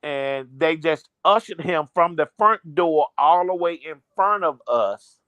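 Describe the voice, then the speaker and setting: Animated man in a dry, close-miked recording. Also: a little high, measured pace, dry recording. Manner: animated, swears, acts out his own reactions. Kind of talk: casual storytelling.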